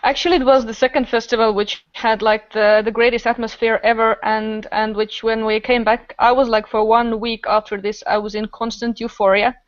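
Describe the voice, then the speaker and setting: A woman with an Estonian accent chats in a high voice with a smooth, clear tone. She tells stories casually and with enthusiasm. The recording is very dry, close and a little dull. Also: level tone, normal speed, hardly any pauses, dry recording. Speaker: podcast guest, improviser and festival organiser. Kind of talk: casual storytelling in group conversation.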